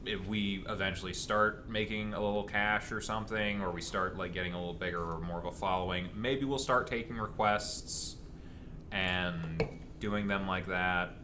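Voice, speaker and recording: A young man chatting casually as co-host of a gaming channel, answering audience questions in a rambling, halting way. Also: sits low, fairly even intonation, background noise.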